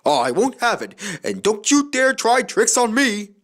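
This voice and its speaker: A man voicing an old, gallant male steam engine in a storybook, speaking in loud, earnest exclamations and puffing as he goes. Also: mid-range voice, lively intonation, takes it slowly, voice pushed down. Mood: scolding. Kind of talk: storybook character voice.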